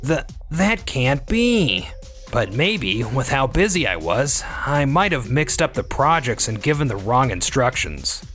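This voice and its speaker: A man's voice: medium pitch, big pitch swings, measured pace, a bit bright. A man playing a scheming, defensive office employee in a manga dub, self-serving and full of excuses. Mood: flustered, defensive. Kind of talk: anime-style manga dub dialogue and inner monologue. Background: background music.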